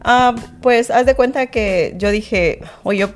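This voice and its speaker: A woman's voice: on the high side, slow, faint music behind. Chatty Honduran-born woman, real estate agent. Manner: chatty, laughs often, many fillers. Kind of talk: casual interview chat.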